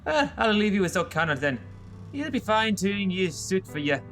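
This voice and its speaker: A man's voice: sits high, sing-song, normal speed, a bit bright, soft background music. A man playing a timid, anxious scientist in an amateur audio drama, with a hesitant, fretful manner. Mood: helpful, hesitant.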